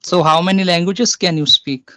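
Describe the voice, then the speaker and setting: A man's voice: sits high, moderate pace, bright, forceful tone, dry recording. A middle-aged man with an Indian accent, explaining things in a casual chat, with many fillers and self-corrections. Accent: Indian English. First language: Pahari. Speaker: conversation partner. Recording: dull, lo-fi dry sound.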